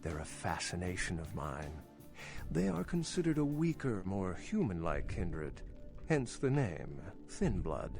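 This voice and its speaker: An actor playing an urbane, worldly old vampire scholar in a video game, speaking in a formal, wry, articulate way. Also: male voice, low-pitched, very animated, a little slow, creaky, a little mellow, faint music behind, heavily acted. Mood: musing. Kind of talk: video game character dialogue.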